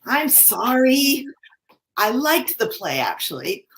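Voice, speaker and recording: A woman's voice: fairly high pitch, sing-song, takes it slowly, fairly bright tone, full character voice. A woman playing a sweet, distracted, sentimental committee member in an amateur play reading. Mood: apologetic, sweet.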